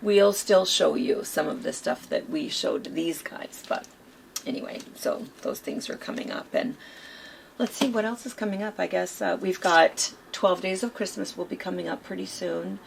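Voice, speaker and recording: A hoarse woman co-hosting a quilt shop chat, rambling casually with lots of fillers. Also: on the low side, moderate pace, a little hiss or hum. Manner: chatty, rambling with many fillers.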